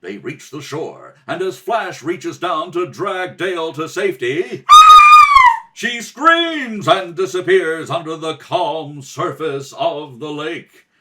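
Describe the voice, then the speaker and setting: A man's voice: on the high side, sing-song, takes it slowly, a bit bright, distant mic. A man giving theatrical, dramatic narration in the style of an old-time radio adventure serial. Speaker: narrator, rehearsal reader. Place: kitchen table at home. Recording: home recording, slightly off the mic.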